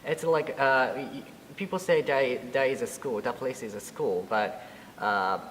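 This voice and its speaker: A man in his twenties from Japan, speaking English with a Japanese accent as a Buddhist priest being interviewed, halting and full of fillers and restarts. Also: medium pitch, a bit bright, long reverb tail, a little hiss or hum, low-quality recording. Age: 20s. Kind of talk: interview answers.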